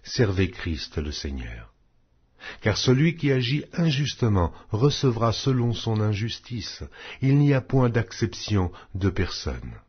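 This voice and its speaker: The audio is a little dull and lo-fi. A man reads scripture aloud in a husky voice, with solemn, declamatory delivery. His phrasing is measured, yet his intonation moves widely up and down. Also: fairly low pitch, sing-song. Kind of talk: scripted Bible reading.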